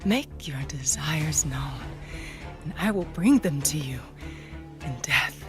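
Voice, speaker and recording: A woman's voice: low-pitched, animated, slow pace, over music. A woman playing a menacing, commanding alien warlord in an audio drama, theatrical and imperious. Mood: sinister calm.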